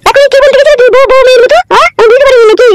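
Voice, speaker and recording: A man's voice: very high-pitched, fairly even intonation, racing, background noise. A man voicing a suspicious, nagging married woman in a comedy cartoon. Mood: suspicious, offended. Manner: nagging, indignant questioning.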